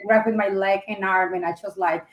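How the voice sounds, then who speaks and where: A woman's voice: mid-range voice, fairly even intonation. Woman in an echoey room. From Colombia. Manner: chatty, searching for words, quick laughs. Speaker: guest, pro wrestler. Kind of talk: casual interview chat.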